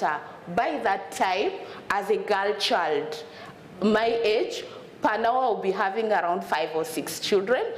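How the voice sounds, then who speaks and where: A woman from South Sudan, in her thirties, speaks earnestly on a conference stage, restarting her phrases as she goes. Her voice is of middling pitch. It rings in a roomy hall with a faint hum of ambience. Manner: earnest, repeats words as she searches for them. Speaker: panelist, refugee student advocate. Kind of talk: panel discussion talk. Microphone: lapel mic.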